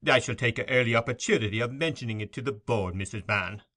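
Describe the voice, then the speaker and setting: A man's voice: medium pitch, fast talker, sharp tone, close to an everyday voice. A man voicing a pompous, self-important parish officer who blusters and speechifies. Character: pompous fat choleric male parish beadle.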